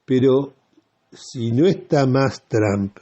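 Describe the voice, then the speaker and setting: Male Argentine radio commentator: husky, unhurried delivery, emphatic and opinionated, deliberate phrasing. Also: medium pitch, warm tone, highs cut off. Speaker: radio host.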